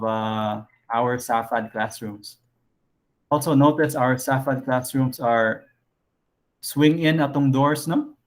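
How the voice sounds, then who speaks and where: Male teacher, mid-pitched, casual online lecture with lots of fillers. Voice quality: low voice. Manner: casual, thinks aloud with frequent fillers.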